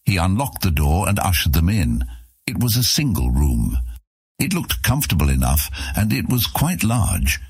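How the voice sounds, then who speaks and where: Deep, husky male audiobook narrator. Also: big pitch swings, normal speed, dry recording, studio quality. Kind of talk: scripted fantasy fiction narration.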